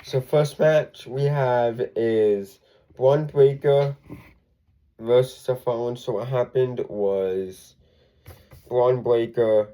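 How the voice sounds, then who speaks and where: Congested, slow-talking man recapping wrestling. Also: mid-range voice, fairly even intonation, mellow, rough recording. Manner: rambling, with frequent ums and self-corrections. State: congested from a cold. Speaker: wrestling show reviewer. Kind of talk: casual solo recap.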